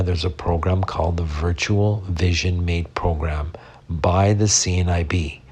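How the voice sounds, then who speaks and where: Husky low-voiced Canadian man. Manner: steady, earnest, advice-giving. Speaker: guest, drugstore worker. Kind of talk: prepared personal statement.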